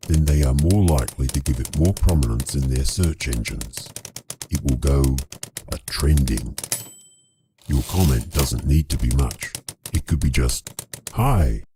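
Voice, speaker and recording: A man with a gravelly voice giving a scripted appeal to viewers as a storyteller, in a formal, wordy, drily playful manner. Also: extremely low pitch, background noise. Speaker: storyteller and creator addressing viewers.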